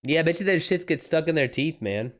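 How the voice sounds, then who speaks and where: A man's voice: fairly high pitch, animated, a little fast, fairly bright tone. A man talking casually as a livestream host, informal and slangy, swearing now and then.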